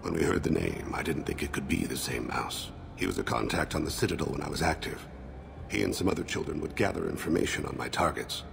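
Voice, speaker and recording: An actor playing a calm, grave alien assassin and father in a video game, speaking in solemn, measured, formal phrases. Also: background noise, exaggerated voice. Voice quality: gravelly, very deep.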